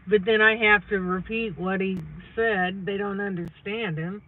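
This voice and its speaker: A woman's voice: mid-range voice, fairly even intonation, a little slow. A woman chiming in on a casual livestream with short, teasing remarks beside her husband. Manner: brief teasing interjections. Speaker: host's wife, co-host. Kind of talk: casual live chat. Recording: tinny phone-like audio, distant from the mic.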